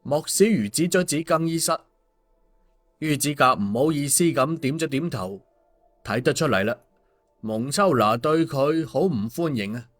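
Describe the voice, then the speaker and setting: A man's voice: fairly high pitch, normal speed. A man narrating a scripted story as an audiobook storyteller. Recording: music behind the voice. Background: background music.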